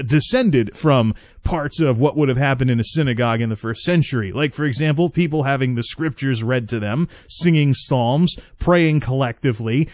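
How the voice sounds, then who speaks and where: Man, chatty, rambling, mid pitch, dry close sound. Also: dry recording. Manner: chatty, rambling, with asides and restarts. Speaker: host. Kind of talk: casual spoken commentary.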